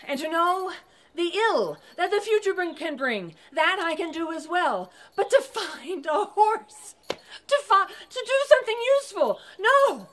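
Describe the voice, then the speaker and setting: A woman's voice: very high voice, big pitch swings, a little slow, fairly bright tone, pitched above the performer's own voice, full character voice. A woman voicing a weary young male harper in a told folk tale. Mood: rueful.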